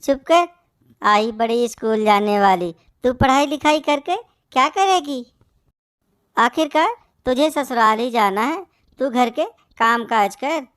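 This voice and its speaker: Woman as a cruel cartoon bird mother, high voice, slow delivery, harsh scolding manner, dry recording. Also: expressive, clear, resonant tone, no room sound. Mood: scolding, dismissive. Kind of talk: Hindi moral-story cartoon dub.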